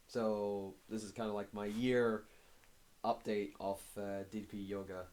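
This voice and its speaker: A hoarse man with a cold gives a casual progress update to camera, talking haltingly with many filler pauses. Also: lowish voice, flat delivery, darkish tone. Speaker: fitness vlogger.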